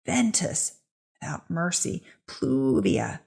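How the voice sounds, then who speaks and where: Woman acting a defiant witch, husky and creaky. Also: deep voice, big pitch swings, slow pace, darkish tone, heavily acted. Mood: incanting. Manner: archaic, rhetorical speech. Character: defiant accused witch, fierce and indignant woman.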